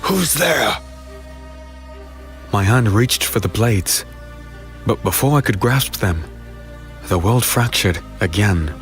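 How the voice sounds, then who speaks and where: A man playing a weary, brooding fantasy hero who narrates his own dark tale in a deliberate, somber, dramatic way. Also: on the low side, lively intonation. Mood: ominous, tense. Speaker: narrator and lead character. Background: music.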